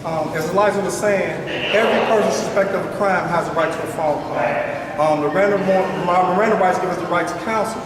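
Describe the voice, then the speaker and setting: A man's voice: sits high, brisk. A man giving insistent, plain-spoken personal testimony as a community speaker at a press conference. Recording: very echoey hall, distant mic, noisy.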